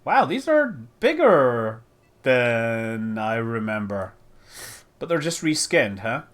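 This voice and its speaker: A man streams a building game with casual, rambling commentary. He speaks at an unhurried pace, half to himself, and his pitch moves in lively swings as he exclaims over what he finds. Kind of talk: casual live stream commentary, thinking aloud.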